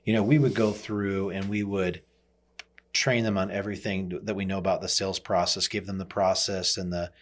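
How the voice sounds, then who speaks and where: A man's voice: low voice, level tone, normal speed, a little hiss or hum. A man hosting a podcast, talking in a conversational, explanatory way about business. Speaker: podcast host.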